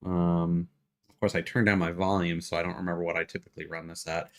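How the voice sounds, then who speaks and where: A man talking casually as a live streamer, halting and hesitant as he thinks aloud. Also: low-pitched, level tone, soft background music. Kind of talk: casual livestream chat.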